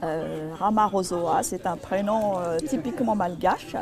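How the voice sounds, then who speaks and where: A woman's voice: fairly low pitch, measured pace, a crowd in the background. A woman from Madagascar speaks informally in a heartfelt testimonial, repeating words for emphasis. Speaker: charity association member.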